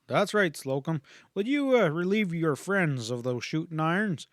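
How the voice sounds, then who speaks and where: A man's voice: on the high side, expressive, moderate pace, no room sound, clean studio sound, pitched above the performer's own voice, lightly acted. A man playing a tough Texas Ranger in an audiobook western, speaking in a folksy, wry drawl with cool authority. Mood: commanding.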